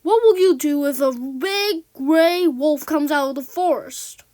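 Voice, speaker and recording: A woman's voice: high-pitched, a little slow, warm tone, no echo, studio quality. A woman voicing a cautious old grandfather who admonishes a young boy in a children's story. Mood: stern warning.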